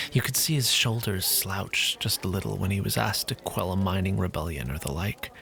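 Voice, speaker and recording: A man playing a cool-tempered, wistful city guard officer in a fantasy audio drama, speaking in a measured, hesitant way. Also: sits low, creaky, bright, forceful tone, full character voice. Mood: wistful, uneasy.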